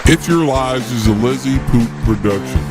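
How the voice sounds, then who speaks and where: A man's voice: medium pitch, normal speed, over loud music, close to an everyday voice, poor audio. A man playing a prize announcer in a game show parody, reading in a promotional style.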